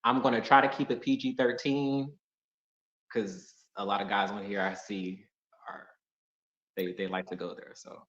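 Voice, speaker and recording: A man from Pittsburgh joins a live dating show as a caller and talks in a polite, friendly, measured way. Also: on the low side, little pitch movement, a bit bright. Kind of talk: casual video-call chat. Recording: echoey, dull video-call audio.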